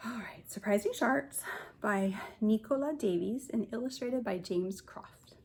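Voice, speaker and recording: A woman kindergarten teacher reads a picture book aloud to young children, pausing to explain and point things out in simple words. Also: a little high, a little mellow, slightly rough.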